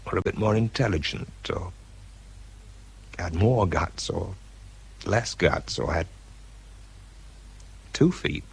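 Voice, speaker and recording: An actor playing a weary, self-doubting British man who narrates his own story in a radio drama, reflective and halting, with a gravelly, husky voice. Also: sits low, exaggerated voice. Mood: bitter, resigned.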